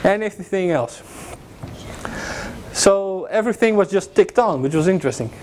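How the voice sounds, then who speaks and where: Dutch man lecturing, rather high voice. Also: animated, normal speed, a little mellow, slight background noise. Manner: loose, joking, many fillers, walks and points at slides. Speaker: guest lecturer. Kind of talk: informal technical lecture. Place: classroom with projector. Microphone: far camera, no visible mic.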